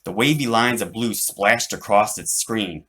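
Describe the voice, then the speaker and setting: A man reading his own children's book aloud as an animated narrator. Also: on the low side, unhurried, slightly rough.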